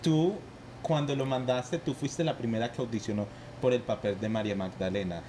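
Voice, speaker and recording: A man's voice: medium pitch, moderate pace, mellow, a little hiss or hum. A young man, a film director leading a casual podcast conversation with his cast. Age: young adult. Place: shop with shelves of goods, indoors. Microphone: podcast mic on boom arm.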